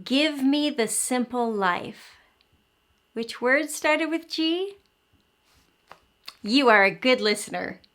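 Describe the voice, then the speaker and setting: A woman with a high, very bright voice leads storytime for young children. She takes her time, speaking slowly in an animated, encouraging way. She explains things simply and puts questions straight to the child listening. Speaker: children's read-aloud host and teacher.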